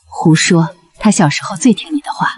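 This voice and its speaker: A woman playing a gentle, caring dowager consort and mother in a historical drama. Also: on the low side, fairly quick, husky. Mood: tender concern. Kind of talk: dubbed historical drama acting.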